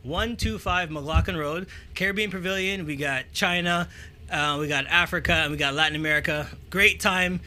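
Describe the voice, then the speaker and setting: A man hosting a casual talk show interview, upbeat and chatty, often answering with a quick "okay". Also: fairly high pitch, sharp tone.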